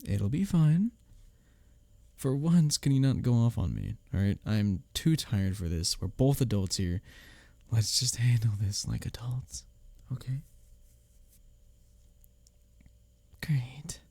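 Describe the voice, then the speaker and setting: A man's voice: mid-range voice, expressive, unhurried, warm tone, no room sound. A man playing a bickering but secretly caring workplace rival in an intimate ASMR audio roleplay, with a teasing, sardonic manner. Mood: tired exasperation. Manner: intimate, teasing, sardonic, chuckling between lines. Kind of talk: ASMR audio roleplay, one-sided dialogue.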